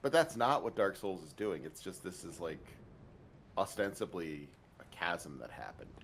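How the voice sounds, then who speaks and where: A man with a low voice comments casually on a video game. His pitch moves around in an animated way as he drops wry asides. There is faint noise in the background. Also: normal speed. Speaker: let's play co-host watching and commenting.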